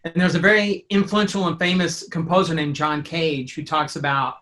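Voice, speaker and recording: A man's voice: a little high, level tone, normal speed, bright, forceful tone. A man talking casually in an interview, rambling and thinking aloud with enthusiasm. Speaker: sound designer and composer; teacher.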